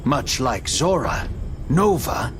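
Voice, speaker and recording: A man giving scripted, cinematic storybook narration in a solemn, measured storyteller's delivery. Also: medium pitch, big pitch swings, slight background noise. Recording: music behind the voice. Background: music.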